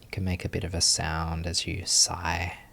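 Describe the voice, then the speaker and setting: A man leading a guided meditation as a teacher, giving gentle instructions with long pauses. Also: sits low, completely flat delivery, vocal fry.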